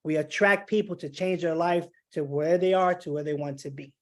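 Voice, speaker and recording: A man's voice: a little high, normal speed. A man on a video call gives advice and keeps checking in with "okay?". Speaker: meeting participant.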